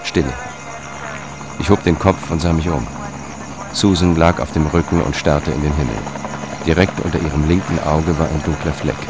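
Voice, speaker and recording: An actor playing a sober, world-weary investigator who tells a political thriller in a dry, measured way for radio. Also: male voice, extremely low pitch, steady tone. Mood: tense, measured. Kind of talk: scripted first-person narration and dialogue, radio drama. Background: music.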